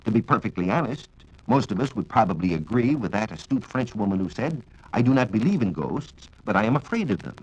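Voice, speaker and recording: A man with an American accent giving a formal, measured, scripted 1940s radio science talk on a dull vintage recording. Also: on the low side, sing-song, slightly rough. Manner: formal, measured lecturing with rhetorical questions. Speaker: science reporter and radio speaker. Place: radio studio.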